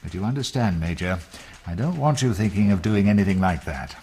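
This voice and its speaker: An actor playing a discreet British intelligence brigadier with a clipped, formal manner in a wartime radio drama. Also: male voice, sits low, expressive, brisk, a little mellow. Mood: measured.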